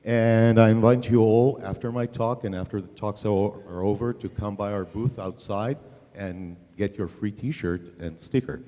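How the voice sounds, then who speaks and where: A man's voice: lowish voice, a little slow, warm tone. Israeli man in a reverberant hall. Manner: casual, self-deprecating, many fillers. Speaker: conference speaker. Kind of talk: conference tech talk. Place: large conference hall. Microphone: handheld mic.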